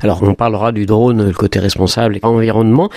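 A man's voice: on the low side, fairly even intonation, fast talker, darkish tone, no room sound. Businesslike male interviewer, dry sound. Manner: direct, businesslike questioning. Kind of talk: interview questions.

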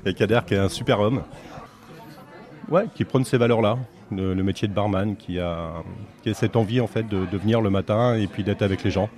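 A man's voice: fairly low pitch, a little fast, darkish tone. A middle-aged French man who owns a café, chatting casually in an interview with many fillers and restarts. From France. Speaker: café owner.

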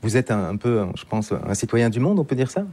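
Mellow-voiced male radio host. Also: medium pitch, lively intonation, a little fast. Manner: conversational, with frequent fillers as he frames questions. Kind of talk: radio interview.

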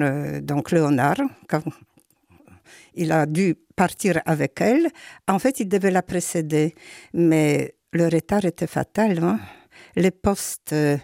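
Older woman host, low gravelly voice. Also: warm tone, no echo, studio quality. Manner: chatty, exclaiming, adds "hein". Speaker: radio show host. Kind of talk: radio interview.

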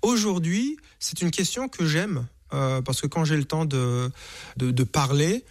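A man's voice: on the high side, animated, a little slow. A man in his forties from Rwanda, a singer and author, answering in a reflective radio interview, often hesitating and repeating words.

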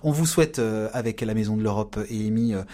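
A man's voice: lowish voice, quick pace, warm tone. A man giving an informative radio segment, explaining steadily with frequent fillers and repeated words. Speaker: radio columnist on European affairs.

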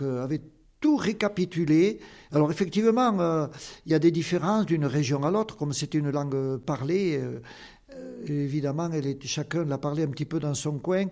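An older man speaks with a strong southern Occitan accent as a guest in an interview. His voice sits a little high yet sounds mellow and soft-edged. He explains things earnestly and fills his pauses with hesitations. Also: creaky. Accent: Occitan southern French. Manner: earnest, explanatory, with many fillers. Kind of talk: interview answers.

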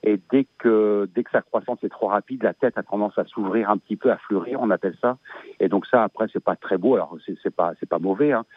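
Belgian guy, 50s, low voice, stop-start talk, phone line, dry. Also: a little fast, slightly rough, no echo. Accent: Belgian French. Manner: hesitant, many fillers and restarts. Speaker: asparagus grower guest. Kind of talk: radio interview, casual chat.

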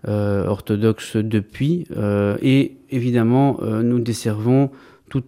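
Man in his 30s, priest being interviewed, low-ish mellow voice, halting delivery with lots of fillers. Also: steady tone. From Greece, raised in Belgium. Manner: hesitant, many fillers and restarts. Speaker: Orthodox priest. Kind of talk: interview answers.

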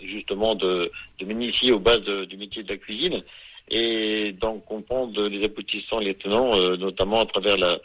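A man from northern France, a chef interviewed on the radio, speaking haltingly with many fillers. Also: lowish voice, steady tone, a bit bright, dry recording, low-quality recording. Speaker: school chef, guest.

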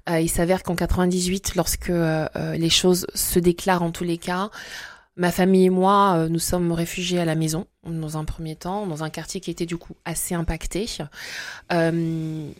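A woman in her forties from Congo-Brazzaville, a guest telling her faith story in an interview and pausing often with fillers and restarts. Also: on the low side, flat delivery, dry recording, clean studio sound. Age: 40s. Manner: hesitant, many fillers and restarts. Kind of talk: testimony interview.

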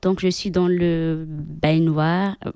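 A religious sister from Madagascar, a Malagasy speaker, answering interview questions in French with many pauses and fillers. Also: female voice, low voice, fairly even intonation, mellow, smooth, no echo. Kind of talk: radio interview.

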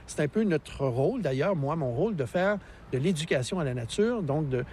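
A man's voice: fairly high pitch, lively intonation, moderate pace, a little mellow, a little hiss or hum. A man in his sixties with a Québécois accent, a park naturalist explaining the mountain landscape with enthusiastic, teacherly energy. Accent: Québécois French. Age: 60s. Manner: enthusiastic, teacherly, laughs easily. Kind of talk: radio interview, explaining outdoors.

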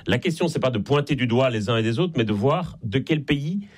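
A man's voice: medium pitch, expressive, a little fast. A man with a Belgian French accent explaining things in an articulate, didactic way as an expert guest in a radio interview. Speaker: guest expert and author on energy transition.

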